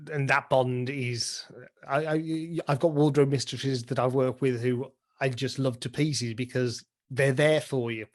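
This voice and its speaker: A man's voice: mid-range voice, darkish tone. A British man speaking casually as an interviewed theatre performer and director, enthusiastic and full of illustrative examples. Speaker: pantomime dame and director being interviewed. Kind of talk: casual interview chat about stage craft.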